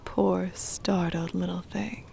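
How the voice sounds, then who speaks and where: A possessive vampire noblewoman, played by a woman, whispers slowly and intimately in an ASMR roleplay. Her voice is soft and mellow, with very heavy creak. She sounds formal and doting, soothing her beloved pet up close over steady background ambience. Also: on the low side, a little hiss or hum, heavily acted. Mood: tender teasing. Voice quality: breathy whisper with creaky fry.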